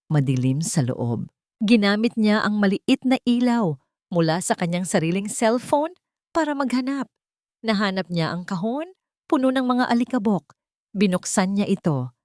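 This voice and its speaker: A woman's voice: on the low side, a little mellow, no echo, clean studio sound. A woman giving scripted, dramatic narration of audio fiction.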